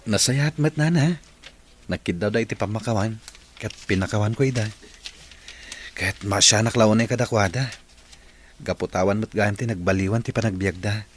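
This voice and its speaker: A low-pitched, polite young man played by a male actor speaks earnestly with an Ilocano accent. It is radio drama acting, recorded with a noticeable layer of background noise. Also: normal speed, pauses often, lightly acted. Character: earnest young man, respectful boss seeking his mother.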